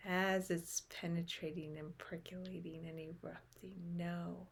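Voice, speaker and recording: A woman leading a guided meditation in a gentle, soothing manner, with phrases that often soften into breath. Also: lowish voice, flat delivery, measured pace, creaky, slightly rough.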